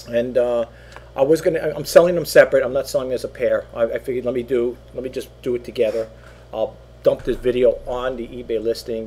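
A man's voice: medium pitch, dark tone, husky. A middle-aged man casually showing items he is selling online from a small home office, rambling with many fillers and repeats, his voice wearing thin. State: losing his voice. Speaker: online seller. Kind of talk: casual product walkthrough. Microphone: webcam at desk.

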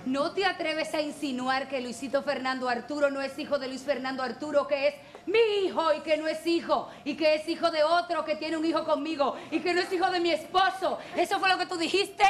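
A woman's voice: sits high, fairly even intonation, fairly quick, hardly any pauses, faint music behind, natural voice. A woman playing a jealous, scheming telenovela wife, indignant and melodramatic, in a parody soap opera. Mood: outraged. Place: large TV studio.

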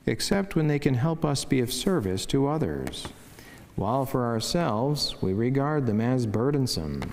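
A man, a priest, reading a scripted devotional in a measured, formal and reverent way. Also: mid-range voice, darkish tone. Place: wood-panelled church sanctuary, indoors. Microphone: lectern microphone. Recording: long church reverb.

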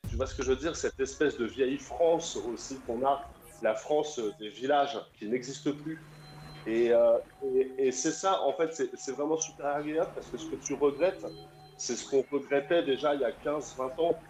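A man's voice: mid-range voice, moderate pace, a little mellow. A man in his thirties calling in to a radio show, talking in an enthusiastic, rambling way with many fillers. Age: 30s. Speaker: caller. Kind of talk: casual radio call-in chat. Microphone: call over the internet. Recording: roomy echo, faint music behind.

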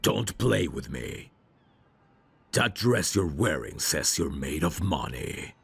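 A very slow, menacing mugger from an ASMR roleplay , acted out by a man. He taunts and threatens in a rock-bottom, gravelly male voice, leering as he goes. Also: very deep voice, big pitch swings, fairly bright tone, exaggerated voice. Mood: leering, taunting. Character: menacing male street mugger, lecherous thug.

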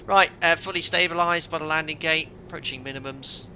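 A man who flies airliners for a living calmly commenting on a simulator landing and reading out checklist items as he goes. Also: on the high side, a little fast, slight background noise. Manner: calm running commentary, reads out checklist items. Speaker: airline pilot streaming a flight simulator. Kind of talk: live commentary while flying.

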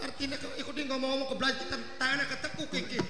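A middle-aged man with an Indramayu Javanese accent doing stage comedy banter, loud and teasing, repeating words rapidly into a handheld mic. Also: very high-pitched, flat delivery. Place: outdoor village stage. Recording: echoey outdoor PA with music.

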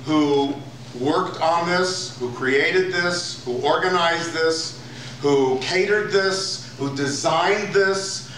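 A man presents awards at a film festival, slow and deliberate, stacking his phrases one after another. He sounds distant in a very echoey hall, with a crowd murmuring around him. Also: on the high side, a bit bright, a little hiss or hum. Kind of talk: public announcement at an event. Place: large lecture hall. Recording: echoey hall taped from far off.

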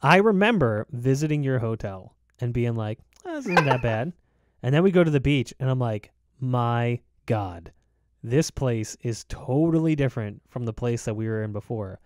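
A man in his thirties, a podcast host, telling animated stories over a video call with lots of filler words. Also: medium pitch, moderate pace, dry recording, studio quality. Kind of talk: casual chat, storytelling. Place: home room, indoors. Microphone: desk mic on video call, headphones.

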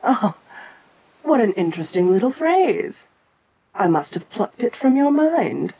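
A woman playing an ancient, domineering giantess kaiju queen in an audio roleplay, imperious and teasing yet protective, speaking with deliberate pauses. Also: a little high, very animated, warm tone, slightly rough, full character voice, poor audio. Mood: reassuring, amused. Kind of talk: second-person audio roleplay acting.

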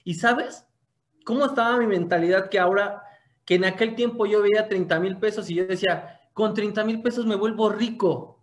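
A young man with a fairly high voice tells his own story to an audience. He speaks over a webcam from a room at home and keeps asking his listeners rhetorical questions. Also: normal speed. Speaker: motivational speaker giving a business testimony. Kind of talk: motivational testimony talk. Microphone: webcam on a video call.

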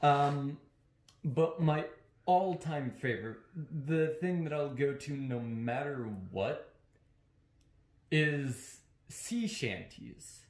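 A young man, chatting casually in a halting, deliberate way. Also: fairly high pitch. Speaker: co-host answering and asking questions. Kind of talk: casual chat. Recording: roomy, echoing sound.

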